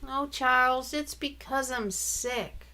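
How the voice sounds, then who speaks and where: A woman voicing a sick young girl who answers softly and weakly. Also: sits high, slow, pitched above the performer's own voice, heavily acted. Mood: sad.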